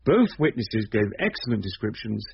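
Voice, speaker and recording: A husky British detective inspector, calm and methodical. He speaks with measured authority in radio drama style, on a dull old recording. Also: lowish voice, heavily acted. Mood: grave, methodical. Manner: measured, authoritative, matter-of-fact.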